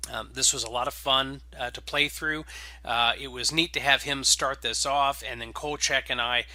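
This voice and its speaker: Forceful, bright-voiced man narrating. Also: medium pitch, steady tone, normal speed, no echo. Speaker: game writer and host introducing and reading stories. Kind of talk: casual podcast intro, then dramatic scripted narration.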